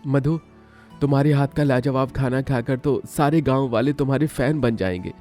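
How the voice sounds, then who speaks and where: A man voicing a friendly young village man who eagerly offers to help his sister-in-law, speaking in a gentle tone over background music. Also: medium pitch, moderate pace, lightly acted.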